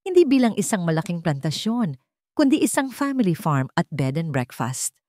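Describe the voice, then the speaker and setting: A woman's voice: fairly low pitch, big pitch swings, normal speed, warm tone, no room sound, clean studio sound. A woman with a Filipino accent reading a scripted dramatic story as its narrator.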